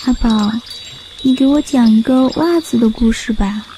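A woman playing a naive, devoted young sock in a fairy-tale radio drama, in a soft, childlike, plaintive way. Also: fairly high pitch, normal speed, noisy background, natural voice. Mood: gentle, hopeful. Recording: clear music behind voice.

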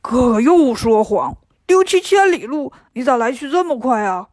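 A woman voicing a clumsy, boastful pig-monk who whines and complains fast in a children's storybook. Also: sits high, expressive, a little mellow, no room sound, studio quality. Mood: wheedling. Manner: whiny, indignant and fast-talking.

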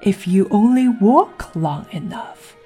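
A woman voicing a sly, riddling, calmly mad cat in a storybook, with a husky, breathy voice and a deliberate drawl. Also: medium pitch, soft background music. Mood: cryptic, unbothered. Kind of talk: audiobook character acting.

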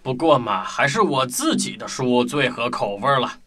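A man's voice: mid-range voice, big pitch swings, moderate pace, sharp tone. A man playing a cultured, gluttonous book-eating fox in a theatrical way for a children's radio play. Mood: smug, picky. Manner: theatrical, munching and humming while eating. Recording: music bed behind the voice.

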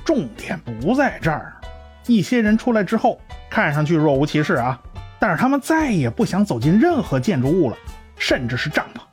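A man's voice: on the high side, sing-song, normal speed. A man voicing an earnest, learned male scientist in an amateur radio drama, explaining at length in a lecturing way. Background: faint music.

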